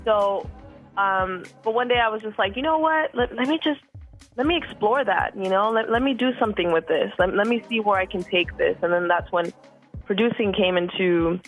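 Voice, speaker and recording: A woman in her thirties, from Costa Rica, talking casually as a music guest, relaxed, with many fillers and repeated words. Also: mid-range voice, normal speed, poor audio. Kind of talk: casual interview chat.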